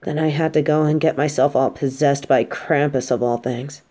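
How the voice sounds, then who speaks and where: A woman's voice: deep voice, steady tone, normal speed, mellow. A woman playing an affectionate, teasing supervillain girlfriend in an intimate roleplay audio drama, speaking tenderly and playfully. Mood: sincere, apologetic.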